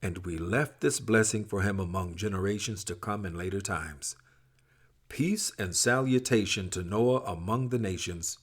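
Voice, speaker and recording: A man giving a solemn, dramatic scripture reading in a measured, expressive, formal manner. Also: lowish voice, slightly rough. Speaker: scripture reader.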